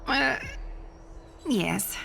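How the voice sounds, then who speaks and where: A woman playing a weary, solemn figure burdened by fate in a video game, calm, grave and formal. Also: a little high, very slow, gravelly, slight background noise, full character voice. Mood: weary resolve.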